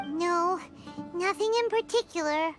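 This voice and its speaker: Tiny pert fairy sidekick for a game, super high squeaky voice, bouncing all over, music behind. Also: female voice, unhurried. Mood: curious. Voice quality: squeaky, childlike. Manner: speaks of self in third person, pert. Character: Paimon, tiny excitable childlike female fairy companion. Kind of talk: video game voice acting.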